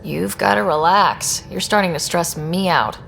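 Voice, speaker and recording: An actress playing a level-headed young team leader in a space video game, conversational and understated. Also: female voice, on the low side, measured pace, creaky, fairly bright tone, slight background noise. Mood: casual concern.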